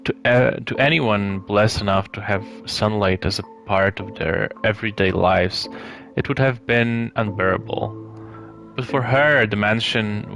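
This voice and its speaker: A man narrates a storybook live at an unhurried, slow pace, with a creaky edge to his lowish voice. He reads in a measured way and stumbles now and then. Music plays clearly behind him. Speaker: streamer reading a visual novel aloud.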